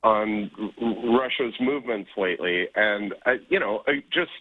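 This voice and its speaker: A man's voice: on the low side, moderate pace, husky. A man calling a radio show, speaking hesitantly with many stammers and fillers. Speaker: caller. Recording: tinny phone line.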